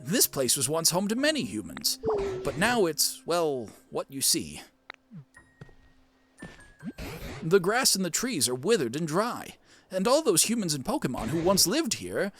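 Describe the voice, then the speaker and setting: A man's voice: a little high, fairly bright tone, exaggerated voice. A man voicing an elderly, scholarly plant-creature professor in a game, curious and kindly, with a quaint, theatrical, musing way of talking. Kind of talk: cartoon game character dub. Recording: music behind the voice.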